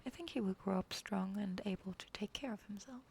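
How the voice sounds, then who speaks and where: A woman reading a fairy tale aloud in a soft whisper, pausing now and then to apologize. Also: mid-range voice, creaky, slight background noise. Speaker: reader.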